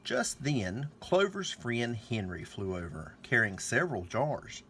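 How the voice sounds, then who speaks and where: Male children's storybook reader, mid-pitched with animated intonation, slight background noise. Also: measured pace. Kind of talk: children's story read-aloud.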